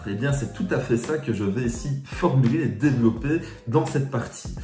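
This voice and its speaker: Belgian French man, mellow tone, measured and explanatory, soft music behind. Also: mid-range voice, reverberant. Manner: measured, explanatory, addresses viewers directly. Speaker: pedagogical advisor. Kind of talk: scripted educational talk to teachers.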